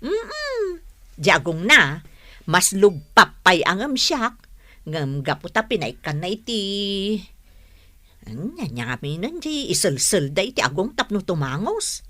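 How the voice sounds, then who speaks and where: Bright, animated, chatty young wife. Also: female voice, mid-range voice, takes it slowly, exaggerated voice. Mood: gossipy, amused. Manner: expressive, conversational, teasing. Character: thrifty, chatty younger married woman, cheerful and frank. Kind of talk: Ilocano radio drama acting.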